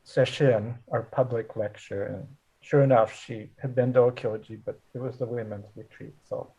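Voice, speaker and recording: An older man, a Zen teacher, leading a relaxed, halting group discussion over a video call. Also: medium pitch, slightly rough. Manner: relaxed, halting, chuckles often. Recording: dull lo-fi call audio.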